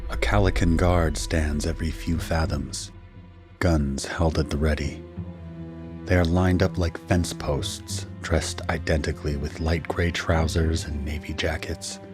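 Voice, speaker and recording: An actor playing a shaken husband and father who narrates his ordeal in a grave, measured, first-person style for an audio drama. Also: a bass voice, fairly even intonation, over music. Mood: grave, tense. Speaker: narrator and main character.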